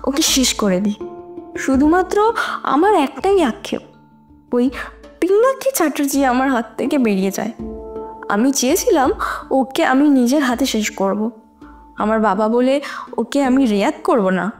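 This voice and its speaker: An actress playing a young female student in an audio drama, giving bitter, halting, emotional testimony in court. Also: high-pitched, animated, a little mellow, faint music behind. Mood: bitter regret. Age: young adult.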